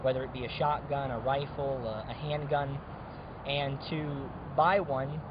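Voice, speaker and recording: A man's voice: medium pitch, fairly even intonation, unhurried. A man giving an earnest interview as a local resident, arguing his case. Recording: muffled, noisy outdoor street audio.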